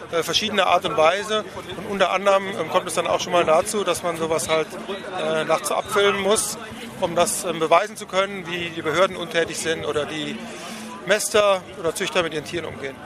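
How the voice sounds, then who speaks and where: A man giving an earnest, measured explanation as an activist in an outdoor interview. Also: high-pitched. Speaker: animal rights activist being interviewed. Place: outdoors at a demonstration stand. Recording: outdoor street recording with crowd noise.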